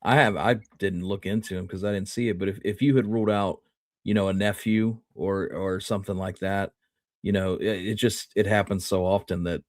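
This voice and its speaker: Male co-host with a deep voice, reflective and tentative in casual discussion, recorded close and dry. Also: fairly even intonation, normal speed, dry recording.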